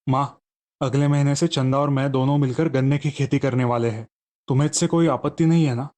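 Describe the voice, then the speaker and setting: A man voicing a young village husband, doubtful but supportive and plain-spoken, in an animated story. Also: mid-range voice, a little fast, close to an everyday voice. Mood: earnest.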